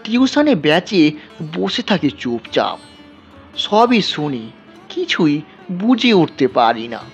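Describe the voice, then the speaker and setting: Male storyteller, dramatic scripted narration, lively swings in pitch, music underneath. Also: slow pace. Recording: dull, lo-fi sound.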